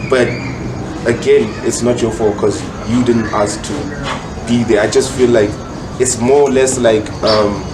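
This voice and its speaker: A man's voice: mid-range voice, steady tone, unhurried. A South African man speaking casually and reflectively as a podcast guest, explaining himself at length and repeating words for emphasis. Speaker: guest musician, rapper. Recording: echoey room, loud background noise.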